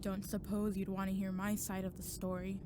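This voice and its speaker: A woman's voice: medium pitch, monotone, normal speed, natural voice. A woman playing a defiant, wounded young agent in an audio drama, bitter, sarcastic and emotionally raw. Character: defiant, wounded young female agent, daughter of a cold commander. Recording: clear ambient noise behind voice.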